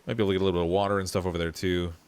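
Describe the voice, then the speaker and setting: A man streaming a game live, thinking aloud and answering chat, his voice still lower than usual from a cold, with frequent laughs. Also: level tone, rapid-fire, a little mellow, smooth. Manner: chatty, laughs often, thinks aloud with restarts. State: voice lower from getting over a cold. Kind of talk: live gameplay commentary.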